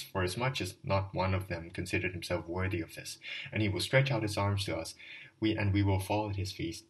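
A man voicing a drunken, ragged middle-aged man from a storybook, fervent and self-pitying, pouring out a long rambling confession. His voice sits fairly low and sounds a little distant from the mic. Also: lightly acted. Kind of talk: audiobook dialogue reading.

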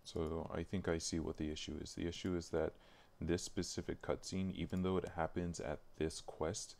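A man livestreaming from his computer, thinking aloud casually in halting mutters as he works. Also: deep voice, level tone, a little mellow. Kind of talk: casual think-aloud while working on game files. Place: indoors at a computer, keyboard clicks behind. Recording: faint background noise, keyboard clicking.

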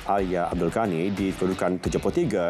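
A man's voice: deep voice, fairly even intonation, fast. A man with a Malaysian accent reading scripted sports news as a presenter. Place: television studio. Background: music.

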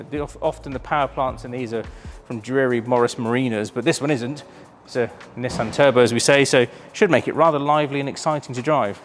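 Enthusiastic man presenting cars. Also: medium pitch. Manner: enthusiastic, chatty, filled with ums. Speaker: auction house presenter. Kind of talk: casual presenting of a car preview. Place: large warehouse showroom with cars.

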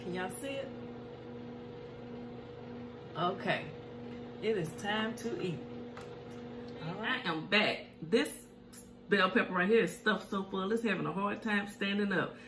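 A woman's voice: medium pitch, normal speed. Woman, middle-aged, chatty, folksy, echoey home kitchen, faint background hiss. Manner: chatty, folksy, hums over food. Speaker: mukbang cooking show host. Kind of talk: casual chat while eating.

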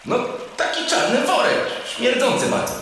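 A man playing a young merboy in a children's audio drama, boyish and expressive, friendly but plaintive. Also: very high voice, normal speed, full character voice. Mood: wistful. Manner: boyish, expressive, uses sound words. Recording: echoey, reverberant cave effect, faint noise.

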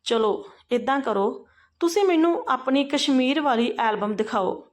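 A woman's voice: high voice, expressive, measured pace. A woman voicing a caring, perceptive and persistent sister-in-law in a family story, earnestly questioning and advising. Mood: probing, insistent.

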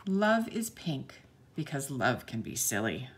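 A woman reading a picture book aloud to young children, speaking to them directly in an expressive storytelling manner. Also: extremely low pitch, a little slow, slight background noise.

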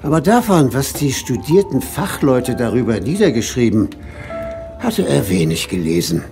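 Gravelly village schoolmaster storyteller. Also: male voice, mid-range voice, sing-song, over music. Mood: measured, absorbed. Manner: measured, literary storytelling with emphatic swells. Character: thoughtful village schoolmaster telling an old tale. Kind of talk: German audio drama narration.

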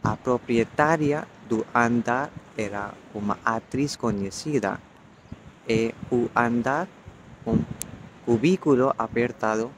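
A young man from India with an Indian accent, a non-native speaker reading prose aloud in short, halting phrases. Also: mid-range voice. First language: not Portuguese. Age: young adult. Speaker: language student reading a text. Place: outdoors on a beach. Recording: noisy outdoor phone recording.

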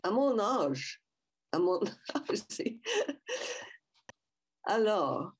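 An older woman with a Métis French accent, speaking slowly and reflectively in a personal talk over a headset on a video call from home. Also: medium pitch, big pitch swings, slightly rough. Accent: Métis French from western Canada. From Saskatchewan, Canada. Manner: slow and reflective, with restarts and repetition. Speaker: guest speaker. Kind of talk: personal talk at a public event. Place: small room at home, indoors. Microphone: headset mic on a video call.

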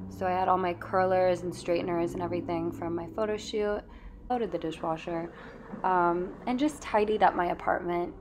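A woman's voice: on the low side, slight background noise. A young woman chatting casually and informally to viewers as a beauty vlogger, sprinkling her talk with "like". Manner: informal, chatty, frequent "like" and "you know". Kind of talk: casual chat to viewers while doing beauty routine. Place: at home in an apartment.

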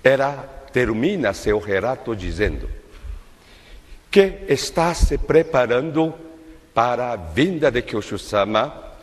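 An older man preaching a sermon at a lectern in a large worship hall, in a slow, measured, emphatic delivery. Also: medium pitch, very animated. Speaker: minister giving a testimony-based sermon. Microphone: lectern microphone. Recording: long reverb of a large hall.

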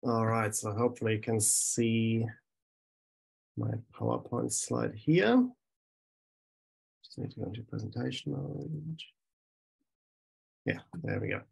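A man's voice: mid-range voice, unhurried, gravelly, long pauses, a little mellow, breathy edge. A man in his thirties with a German accent, giving a hesitant academic talk over a video call from a small office, with many ums and restarts. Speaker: research scientist.

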